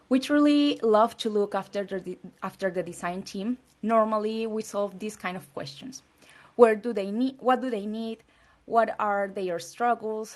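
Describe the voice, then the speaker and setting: A woman from Peru gives a conference presentation in English with a Latin American Spanish accent. She speaks earnestly. Her phrasing is slightly non-native. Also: mid-range voice. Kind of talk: presentation talk.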